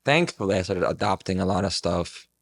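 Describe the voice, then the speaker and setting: A man's voice: low voice, lively intonation, a little fast. A man in his twenties talking casually and reflectively as a podcast guest, earnest and rambling, with plenty of filler words. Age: 20s.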